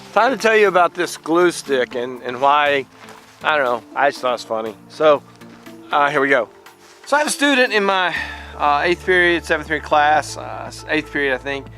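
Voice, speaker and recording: Bright-voiced man talking in a casual vlog. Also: a little high. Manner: halting, repeats words when serious, upbeat when telling the story. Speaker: vlogger, teacher.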